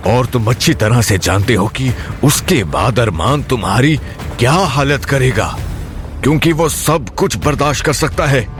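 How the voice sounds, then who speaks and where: A man's voice: medium pitch, natural voice. A man playing a stern, demanding older man of authority in an audio drama, formal and commanding. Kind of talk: Hindi audio drama acting. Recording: music bed under the voice.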